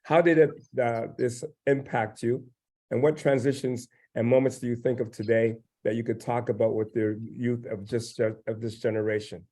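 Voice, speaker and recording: A man's voice: mid-range voice, little pitch movement, moderate pace, mellow. A man moderating a panel, asking long, loosely phrased questions in an encouraging tone.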